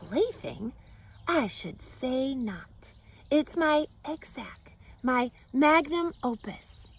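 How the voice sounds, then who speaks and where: Lady doing an old mama spider from a storybook, tired and gentle, slow careful words, weak soft voice, bit of noise behind. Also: high voice, animated, voice pushed up, heavily acted. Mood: weary pride. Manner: measured, articulate. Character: weary, gentle, wise aging female spider.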